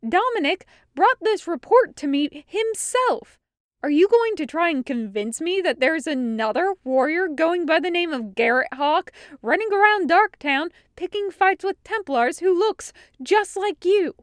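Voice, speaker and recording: A woman voicing a stern, indignant female guard captain who scolds forcefully in an audiobook story. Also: very high-pitched, animated, a little slow, no echo, clean studio sound, pitched above the performer's own voice. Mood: incredulous. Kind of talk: audiobook character acting.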